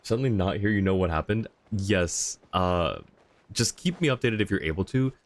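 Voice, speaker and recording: A man's voice: deep voice, lively intonation, moderate pace. A man giving casual livestream game commentary and chatting with viewers.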